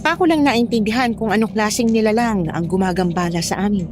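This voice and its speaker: A woman's voice: mid-range voice, fairly quick, music in the background. A woman reading a scripted first-person horror story as its narrator.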